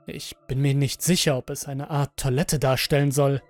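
A man narrates a first-person horror story in a deliberate, dramatic way. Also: medium pitch, steady tone, a little mellow. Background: background music.

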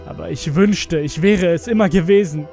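A man voicing a distraught father who pleads with deep regret in a German horror audio story. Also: normal speed, vocal fry, mellow, faint music behind. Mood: desperate pleading. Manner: pleading, emotional.

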